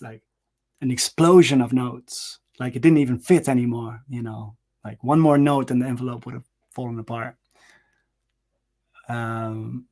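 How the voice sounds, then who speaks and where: A man in his forties telling a personal story in a casual, rambling monologue, swearing, restarting his sentences and acting out what people said. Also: medium pitch. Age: 40s. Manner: rambling, profane, animated, with frequent restarts and fillers.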